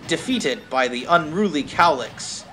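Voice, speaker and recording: A man's voice: fairly high pitch, lively intonation, measured pace, pushed and bright, soft background music, rough recording. A man reading fan fiction aloud on a stream with wry, casual asides, often interrupting himself, some noise in the background. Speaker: streamer, host.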